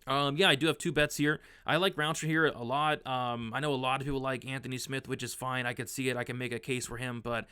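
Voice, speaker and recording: A young man, hoarse from losing his voice, casually talking through his fight betting picks with lots of fillers and restarts. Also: mid-range voice, steady tone, quick pace, hardly any pauses, sharp tone. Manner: rambling, filler-heavy, frequent restarts. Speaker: sports betting analyst.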